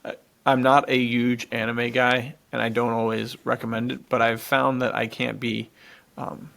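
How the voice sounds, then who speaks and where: A man's voice: mid-range voice, little pitch movement, normal speed, vocal fry, a bit bright. A young American man chatting casually and enthusiastically as a podcast co-host, with a lot of slang. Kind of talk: casual chat.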